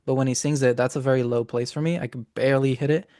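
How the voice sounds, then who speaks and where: A man's voice: mid-range voice, fairly even intonation, fast talker, darkish tone, no echo, clean studio sound. A young man hosting an interview, asking short, direct questions about singing in a casual chat. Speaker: interview host and student singer.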